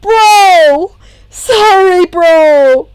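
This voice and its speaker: A woman's voice: very high-pitched, extremely slow, no room sound, voice pushed up, full character voice. A woman voicing an enthusiastic, laid-back teenage boy who exclaims in slangy bursts. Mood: apologetic.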